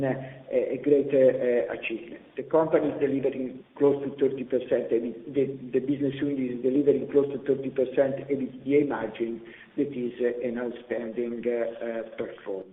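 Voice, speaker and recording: A man presenting company results as an executive on a conference call, speaking haltingly with repeated words and drawn-out pauses. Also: medium pitch, a little hiss or hum. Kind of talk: earnings conference call presentation, semi-scripted business talk. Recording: echoey hall reverb, slightly dull conference-call audio.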